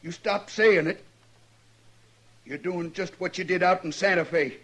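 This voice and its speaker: An actor playing a cowardly, defensive troublemaker from a frontier town in a 1950s radio western. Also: male voice, high voice, measured pace, fairly bright tone. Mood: desperate, threatening.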